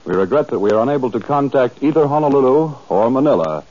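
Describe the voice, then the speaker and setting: Male radio network announcer, formal measured 1940s delivery, dull lo-fi broadcast sound. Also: mid-range voice, lively intonation, darkish tone.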